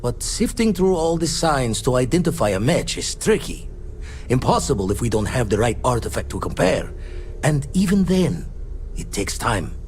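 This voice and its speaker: An actor playing a thoughtful space explorer in a video game, speaking in folksy, clipped phrases. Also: male voice, mid-range voice, big pitch swings, normal speed, darkish tone, a little hiss or hum, natural voice. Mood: musing, explanatory. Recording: music behind the voice.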